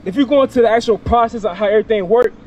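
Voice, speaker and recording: A man's voice: extremely high pitch, rapid-fire, a little mellow, muffled recording, a little hiss or hum. A young man street preaching outdoors into a handheld mic, emphatic and questioning, often repeating words. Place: outdoors by a parking lot.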